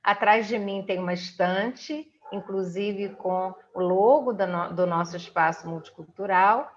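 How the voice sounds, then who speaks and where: A middle-aged woman from the of Rio de hosts a conversational interview from home, often halting to search for words. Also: medium pitch, lo-fi sound. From Brazil. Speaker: interviewer and host. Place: indoors at home.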